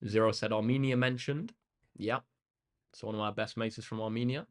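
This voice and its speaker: A young English man hosting a maths livestream, chatting casually with viewers in a relaxed way and using lots of ums and uhs. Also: fairly low pitch, brisk, clean tone. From England. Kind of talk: casual chat answering live comments. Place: indoor room facing a big window.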